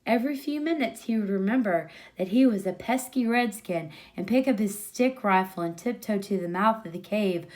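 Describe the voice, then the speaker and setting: Woman, story reader, mid-range voice, expressive scripted narration. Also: medium pitch, moderate pace, hardly any pauses.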